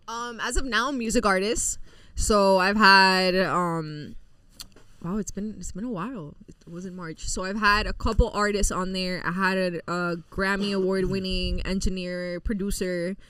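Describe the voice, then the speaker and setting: Young woman guest, lively storyteller, bright tone, slightly off-mic dry room. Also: medium pitch, measured pace, smooth, no room sound, far from the mic. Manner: animated storyteller, acts out quotes, heavy Spanglish. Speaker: podcast host, guest. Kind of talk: casual chat.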